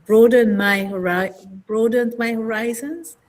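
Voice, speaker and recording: A woman's voice: a little high, steady tone, a little slow. A young woman, a trainee teacher chatting in English over a video call, thoughtful and hesitant, often correcting herself. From Indonesia. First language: Indonesian. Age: young adult. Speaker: university student, trainee teacher. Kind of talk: casual conversation practice.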